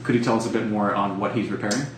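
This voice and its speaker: A man's voice: on the low side, fairly even intonation, rapid-fire. A man talking casually as a player in an improvised tabletop game. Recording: distant, echoey room, music behind.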